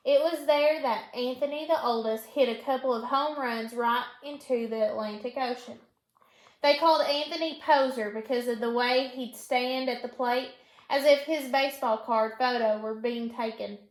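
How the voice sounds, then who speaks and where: A woman teacher reading a storybook aloud in an instructional way, pausing to put questions to her pupil and repeat answers. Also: a little high. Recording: echoey room.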